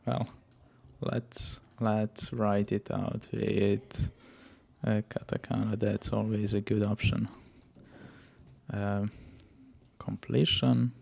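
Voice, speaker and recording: A man, a software engineer thinking aloud while he codes, halting and often dropping into muttered whispers. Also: fairly low pitch, flat delivery, creaky, slightly rough. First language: Serbian.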